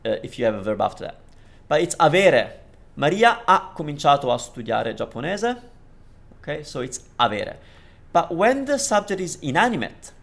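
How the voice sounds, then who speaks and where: Guy from italy teaching italian, gravelly voice, lots of um and you know. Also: fairly high pitch. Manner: explains with many fillers and restarts, switching between English and Italian. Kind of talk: language lesson commentary.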